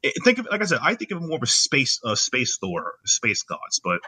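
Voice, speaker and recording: A man's voice: medium pitch, huge pitch swings, a little fast, bright, forceful tone, husky. A man with a Haitian accent co-hosting a podcast, chatting casually and explaining things at length with enthusiasm. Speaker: podcast co-host. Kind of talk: casual chat.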